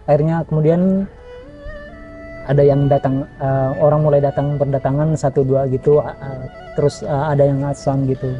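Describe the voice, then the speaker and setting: A man, a traveling journalist telling stories in a relaxed interview, pausing often with fillers and restarts. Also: fairly high pitch, narrow, tinny audio, faint music behind. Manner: hesitant, many fillers and restarts.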